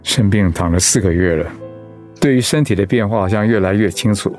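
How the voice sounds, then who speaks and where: An actor with a Taiwanese accent playing a gentle, ailing pastor and husband in a radio drama, earnest and reflective. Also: male voice, on the low side, a little fast, faint music behind, natural voice. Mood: calm, earnest. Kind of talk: Mandarin radio drama acting.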